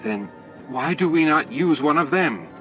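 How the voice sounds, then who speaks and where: A man's voice: mid-range voice, lively intonation, normal speed, pitched above the performer's own voice, lightly acted. A man voicing a reluctant, pained alien council member, hesitant and formally pleading, in an audiobook. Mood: anxious, pleading. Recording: dull lo-fi tape audio with music.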